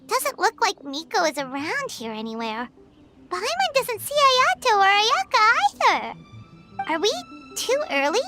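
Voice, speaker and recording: A woman voicing a tiny, curious floating fairy girl with a squeaky, childlike voice in a video game. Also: sing-song, measured pace, over music, heavily acted. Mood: curious, puzzled. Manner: speaks of herself in third person, exclaiming. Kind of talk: animated video game dialogue.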